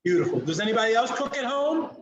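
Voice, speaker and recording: Animated older man in an echoey room. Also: very high-pitched, fast talker, highs cut off. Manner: encouraging, affectionate, repeats answers back. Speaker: chef and guest presenter. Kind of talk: casual interactive chat with children. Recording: echoey room with clear background noise.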